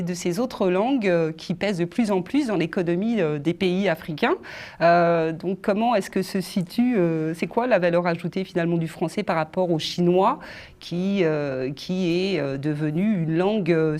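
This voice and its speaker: A woman's voice: lowish voice, normal speed, darkish tone. A woman interviewing a guest on television, asking long questions with frequent fillers.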